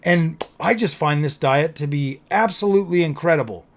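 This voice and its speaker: A man talking casually to his viewers as a gaming content creator, slightly hoarse, rambling and repeating phrases in an earnest, enthusiastic way. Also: fairly high pitch, normal speed. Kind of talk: casual vlog talk to viewers.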